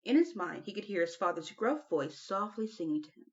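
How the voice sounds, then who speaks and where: A woman's voice: mid-range voice, lively intonation, brisk, lo-fi sound. A woman reading a children's novel aloud as an audiobook narrator. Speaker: audiobook reader.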